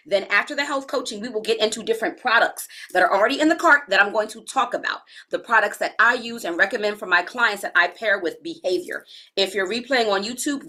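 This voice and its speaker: A woman in her forties giving a live-streamed health coaching talk, chatty and relaxed, speaking straight to her viewers and using casual slang. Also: medium pitch. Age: 40s.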